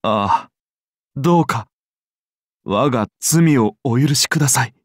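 A solemn, humble emperor from a video game, voiced by a man. His formal, reverent speech moves widely in pitch, in a close, dry recording. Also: expressive, unhurried, no echo, lightly acted. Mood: reverent, earnest. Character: solemn, devout, courteous Byzantine emperor, humble and self-doubting. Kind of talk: scripted game character dialogue.

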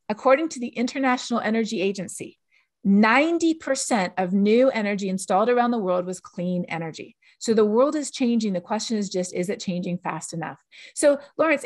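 A middle-aged woman, an author, talking in a lively way on a video call from a home office, asking rhetorical questions as she explains. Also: medium pitch, no echo. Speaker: author and guest.

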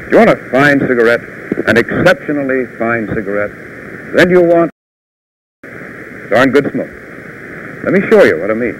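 A middle-aged American man hosting a 1950s television news show, with polished, deliberate announcer delivery. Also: mid-range voice. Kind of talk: 1950s live TV news and sponsor pitches. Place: television studio. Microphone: lapel or tie microphone. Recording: noisy vintage 1950s television broadcast.

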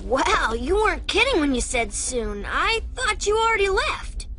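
Game sidekick: eager, slightly anxious little fox boy, high and very expressive. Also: unhurried, slight background noise, exaggerated voice. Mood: uneasy, curious. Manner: childlike, earnest. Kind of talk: video game cutscene acting. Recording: music behind the voice.

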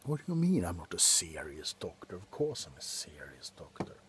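A middle-aged man playing a grumpy, drunk doctor in an ASMR roleplay, grumbling sardonically close to the mic between soft talk and whispers. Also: deep voice, huge pitch swings, moderate pace, a little hiss or hum, exaggerated voice. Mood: grumbling, sardonic. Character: grumpy, drunk male doctor on a Christmas house call. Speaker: ASMR performer. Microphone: very close to the face.

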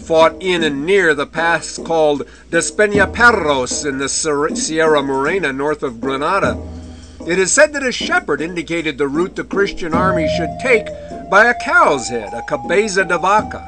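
A man giving an illustrated history lecture in a measured way, with the odd wry aside. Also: a little high, lively intonation. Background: faint medieval music.

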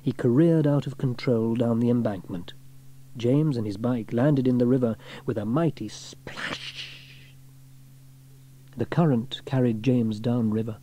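A man narrating a scripted children's story as an audiobook reader, with expressive storytelling delivery. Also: mid-range voice, a little slow, slight background noise.

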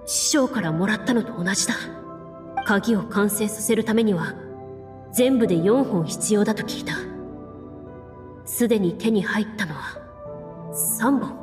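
An actress playing a composed woman duelist who narrates a game adventure in a measured, formal, dramatic way. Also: mid-range voice, lively intonation, close to an everyday voice. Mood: solemn, measured. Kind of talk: Japanese anime game narration. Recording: game music behind.

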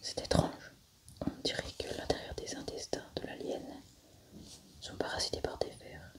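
A young woman doing a whispered ASMR roleplay as a scientist, commenting step by step in a hushed, hesitant way. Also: normal speed. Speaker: ASMR creator playing a scientist.

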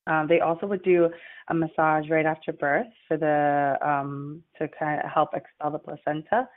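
Low-pitched woman from puerto rico doing a class talk, lots of uhs, phone-line sound. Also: level tone. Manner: halting, with many uhs and restarts. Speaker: midwifery student presenter. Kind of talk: recorded class presentation. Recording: tinny phone-like audio.

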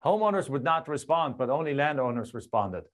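A man's voice: fairly high pitch, fairly quick. A middle-aged man from Germany with a German accent, a guest telling his story animatedly in a casual interview, recorded on a lapel mic in a home studio. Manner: animated, gestures a lot, frequent restarts. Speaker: guest, land investor. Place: home studio with screen and map backdrop.

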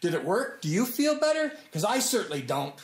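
An actor in his sixties playing a gruff, wounded older American man, blunt and sardonic, in naturalistic film dialogue. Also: high voice, very animated, fairly quick. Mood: bitter, hurt. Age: 60s.

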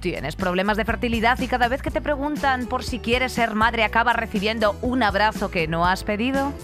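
A woman's voice: fairly quick, over music, lightly acted. A woman from the studio playing an emphatic female infomercial announcer in a radio parody, with a Spain accent. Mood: emphatic, salesy. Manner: emphatic sales pitch. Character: over-the-top female infomercial announcer pitching a gadget.

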